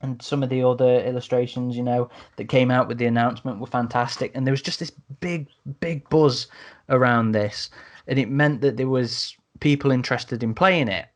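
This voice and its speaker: A man in a casual podcast discussion as a host, speaking a little haltingly and repeating words as he goes. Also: medium pitch, steady tone.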